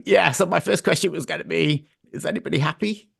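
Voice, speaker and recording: A man interviewing a band over a video call, chatty and upbeat with a slightly croaky voice and frequent laughs. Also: fairly high pitch, brisk, fairly bright tone, no room sound, clean studio sound. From Montreal-based.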